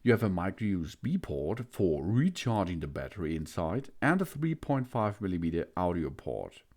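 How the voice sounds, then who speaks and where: A man giving an unboxing walkthrough of a gadget, hesitant, with many filler pauses. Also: low-pitched, very animated, a little mellow. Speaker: product reviewer.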